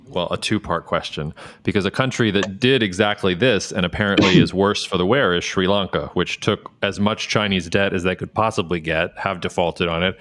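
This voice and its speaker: A man hosting a podcast, chatting in a relaxed, jokey way with lots of fillers. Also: low-pitched. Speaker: podcast host. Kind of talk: casual podcast conversation.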